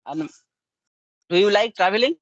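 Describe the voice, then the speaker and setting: A native Bengali-speaking man with a Bengali accent, chatting in halting, simple learner English peppered with yeah and yes. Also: high voice, animated, dry recording, dull audio. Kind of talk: casual English practice conversation.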